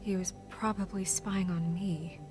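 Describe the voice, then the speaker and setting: An actress playing a stern, harried official in a video game, speaking in a clipped, businesslike manner. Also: female voice, fairly low pitch, fairly even intonation, unhurried, close to an everyday voice. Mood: weary, pressing. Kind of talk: video game dialogue acting. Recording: game music behind the voice.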